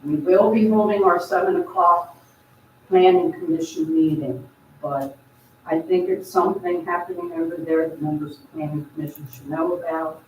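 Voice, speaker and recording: A council member giving measured, formal remarks at a borough council meeting. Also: medium pitch, expressive, a little mellow, slight background noise. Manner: measured, deliberate, announcing decisions. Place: echoey council chamber. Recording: dull, echoey hall from far off.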